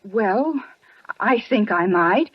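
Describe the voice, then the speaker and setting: An actress playing a timid, flustered crime witness who speaks hesitantly and earnestly in an old radio drama style. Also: female voice, medium pitch, expressive, slow, slightly rough, no room sound. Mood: nervous, earnest. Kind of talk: 1950s radio drama acting. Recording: dull old radio broadcast recording.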